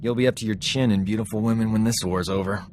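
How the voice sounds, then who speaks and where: An actor playing a cocky, smooth-talking medic and ladies' man in a video game, boastful and breezy. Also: fairly low pitch, steady tone, fast, darkish tone, slight background noise, close to an everyday voice. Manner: boastful, breezy, self-important. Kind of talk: video game cutscene acting.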